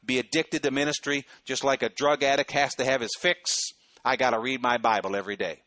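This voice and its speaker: A man's voice: mid-range voice, fairly even intonation, a little fast, fairly bright tone, dry recording. A middle-aged man preaching a sermon in a conversational way, often checking in with his listeners. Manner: conversational preaching, frequent "okay?" check-ins. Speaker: pastor.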